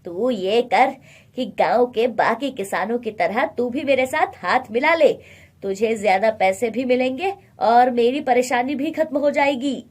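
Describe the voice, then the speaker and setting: A woman's voice: fairly high pitch, unhurried, a little mellow. A woman voicing a greedy, domineering rich male merchant in a moral storybook tale, speaking with threats and scorn. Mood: threatening.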